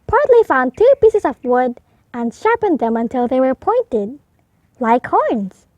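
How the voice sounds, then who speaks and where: Female children's storybook narrator: high pitch, slow and expressive delivery, slightly dull sound. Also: no echo. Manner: expressive, deliberate. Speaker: storybook reader. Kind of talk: read-aloud narration for young children.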